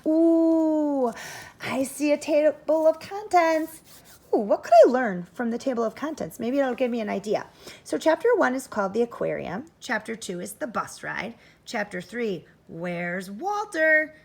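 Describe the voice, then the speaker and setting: A woman's voice: sits high, animated, measured pace, gravelly, darkish tone. A woman teaching first grade, giving an interactive read-aloud for children, thinking aloud expressively and addressing young listeners directly.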